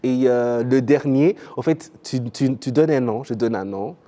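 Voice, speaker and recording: A man hosting a TV interview show in a relaxed, casual way, with frequent fillers and easy laughter. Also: medium pitch, unhurried. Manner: easygoing, laughs often, many fillers. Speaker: TV show host.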